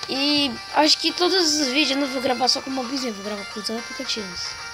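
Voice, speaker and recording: A young Brazilian woman with a hoarse, slightly high voice chats loosely over her gameplay, trailing off into slangy fillers. Game music plays clearly behind her. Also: quick pace. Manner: rambling slangy talk, full of fillers. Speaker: gaming channel host. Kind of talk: casual gameplay commentary.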